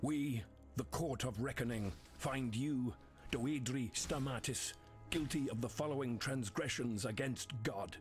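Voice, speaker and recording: An actor playing a stern, pompous templar commander in a video game, making a grave formal proclamation. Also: male voice, mid-range voice, soft background music, natural voice. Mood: stern, righteous. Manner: grave, measured, declamatory.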